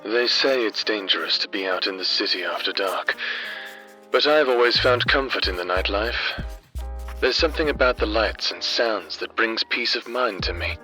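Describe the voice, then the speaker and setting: A man acting as a low-voiced, world-weary noir detective. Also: moderate pace, poor audio. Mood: wry, reflective. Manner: dry, deadpan, formal. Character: sardonic, world-weary male freelance private investigator in a fantasy city. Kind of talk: noir audio drama acting with scripted monologue. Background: background music.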